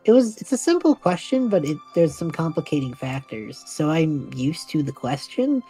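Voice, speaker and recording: A man's voice: on the high side, sing-song, moderate pace, warm tone, slightly rough, faint music behind, heavily acted. A man playing an easygoing half-elf student in his early twenties in an improvised story podcast, casual, good-natured and a little hesitant. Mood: explaining.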